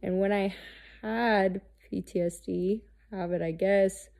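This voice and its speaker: Gravelly, halting woman in her thirties. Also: medium pitch, steady tone, a little mellow. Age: 30s. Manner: halting, searching, with many restarts and fillers; frank, swears freely. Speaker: host. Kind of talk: candid personal monologue.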